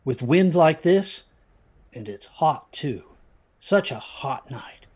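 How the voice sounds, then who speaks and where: A man playing an uneasy parent in an audio drama, speaking in a halting, ominous, husky way that drops toward whispers. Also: fairly high pitch, expressive, darkish tone, full character voice. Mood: anxious, dismissive. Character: uneasy parent warning children about haunted theaters.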